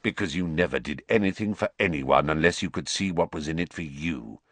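A man voicing a bitter, vengeful male wizard who speaks in a low, growling, venomous way. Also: brisk, fairly bright tone, no echo.

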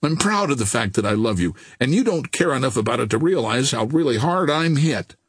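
A man voicing a lovesick, bantering young gentleman in an audiobook, earnest and teasing. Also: mid-range voice, expressive, normal speed, breathy edge, no room sound, studio quality. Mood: ardent.

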